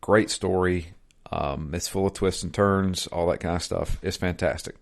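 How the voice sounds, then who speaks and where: A man's voice: deep voice, fairly even intonation, normal speed, creaky. A man in his thirties chatting casually as a podcast co-host reviewing games. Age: 30s. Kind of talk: casual chat.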